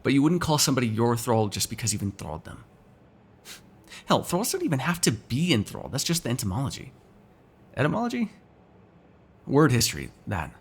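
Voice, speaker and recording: A man's voice: medium pitch, sing-song, a little fast, slightly rough. A man playing a sardonic, teasing, foul-mouthed vampire in an ASMR roleplay, talking casually to one listener. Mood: explaining, sardonic. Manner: casual, teasing, sarcastic, swears freely.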